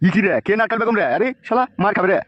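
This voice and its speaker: A man's voice: high voice, fairly even intonation, very fast, very noisy, natural voice. A man voicing a blunt, scolding middle-aged village father in a bawdy cartoon. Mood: defensive anger. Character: gruff, irritable, foul-mouthed middle-aged village father. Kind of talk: bawdy cartoon comedy acting. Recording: dull lo-fi cartoon audio.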